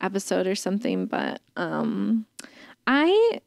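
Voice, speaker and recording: A woman's voice: mid-range voice, huge pitch swings, slow pace, vocal fry, no echo, studio quality. A woman co-hosting a casual pop culture podcast, chatting in a rambling way full of "like". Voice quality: calls her own voice dull. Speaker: podcast co-host. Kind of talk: casual chat.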